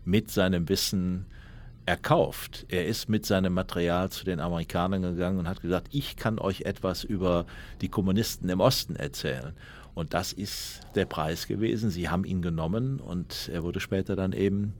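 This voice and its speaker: A deep male voice gives historical commentary. He explains the background as in a documentary interview. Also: normal speed, a little hiss or hum.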